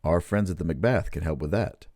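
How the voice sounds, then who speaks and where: A man's voice: deep voice, a little fast, background noise. A man announcing a radio play from a script in a showy, theatrical old-time style. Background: music.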